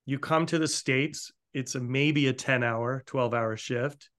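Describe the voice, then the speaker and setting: A man's voice: medium pitch, measured pace, fairly bright tone. A man from the northeastern United States interviewing a chef, asking long, enthusiastic questions with frequent restarts. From Newport, Rhode Island area of the US. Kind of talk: conversational interview.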